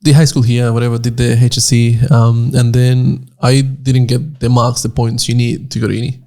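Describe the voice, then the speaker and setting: Man, twenties, from Nicaragua, gravelly, mellow tone, rambling podcast chat. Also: mid-range voice, level tone, normal speed. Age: 20s. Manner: rambling, repeats words while searching for them. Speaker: podcast co-host. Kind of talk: casual chat.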